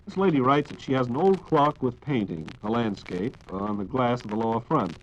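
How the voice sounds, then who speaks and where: An American man hosting a scripted 1940s radio program, speaking in a formal, measured announcer's style. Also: mid-range voice, expressive, a little hiss or hum. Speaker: radio program host reading listener questions. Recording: dull lo-fi 1940s radio recording.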